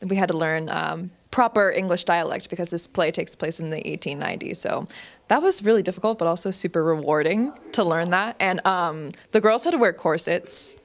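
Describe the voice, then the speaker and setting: A young woman, a freshman student actor, answering interview questions in a bubbly, enthusiastic way. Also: on the low side. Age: young adult. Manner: bubbly, enthusiastic, lots of fillers.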